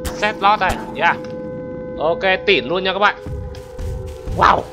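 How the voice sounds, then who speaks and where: A man giving excitable gameplay commentary as a channel host, often exclaiming and addressing his viewers. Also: sits high, slow pace, music in the background.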